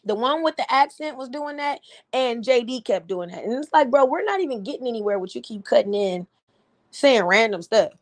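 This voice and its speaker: A woman with a slightly raspy voice hosting a casual livestream, giving blunt, animated commentary with frequent laughs. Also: a little high, brisk. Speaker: livestream host.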